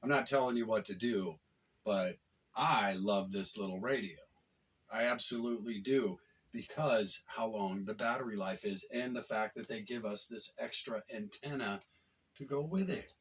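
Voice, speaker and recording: A man giving a casual, folksy product review, talking emphatically with a husky, rough-edged voice. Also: fairly low pitch, normal speed, fairly bright tone, distant mic.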